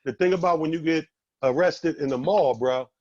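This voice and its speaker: A man's voice: on the high side, moderate pace, background noise. A man, telling loose, profane stories on a comedy panel and acting out the scenes. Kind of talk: casual comedic storytelling. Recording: lo-fi with crowd behind.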